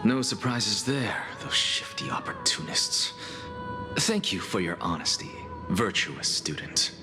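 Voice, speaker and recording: A man's voice: lowish voice, huge pitch swings, unhurried, big-room sound, music in the background. A man playing an arrogant, coolly defiant young scholar in a video game, with dry, cutting, theatrical delivery. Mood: dismissive. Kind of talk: dramatic video game voice acting.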